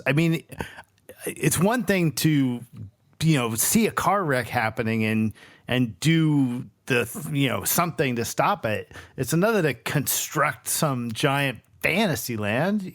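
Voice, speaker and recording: A man's voice: a little high, expressive, a little slow. A man playing a tabletop game, improvising in character in an incredulous, objecting manner.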